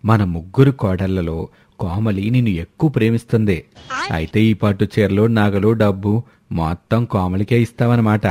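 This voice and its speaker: A conniving elderly father-in-law, acted by a man, prods and questions in a fairly low voice. The delivery suits a cartoon moral story. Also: normal speed, dark tone, dry recording, close to an everyday voice. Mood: prodding, conniving.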